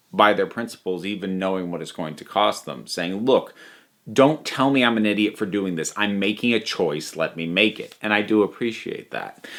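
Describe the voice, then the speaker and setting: A man giving a casual spoken review as a video host, chatty and emphatic in an animated way. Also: on the low side.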